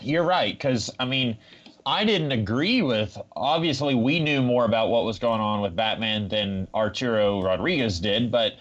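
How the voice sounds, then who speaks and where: A man co-hosting a casual comic book discussion, reasoning things through in a measured, analytical way. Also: medium pitch, lively intonation, fairly bright tone. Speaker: podcast co-host. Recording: slightly dull, lo-fi audio.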